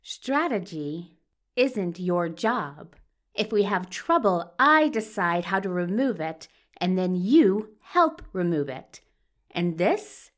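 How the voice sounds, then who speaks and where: A woman as villainous male doctor, contemptuous, commanding, slow delivery, widely swinging intonation, audio drama. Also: very animated. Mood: cold, dangerous. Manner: low, dangerous, commanding. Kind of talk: audio fiction acting.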